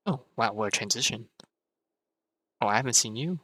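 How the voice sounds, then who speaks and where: Bright-voiced male streamer, wry asides. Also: medium pitch, animated, moderate pace, no room sound. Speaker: game streamer. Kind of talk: casual live commentary over gameplay. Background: game music.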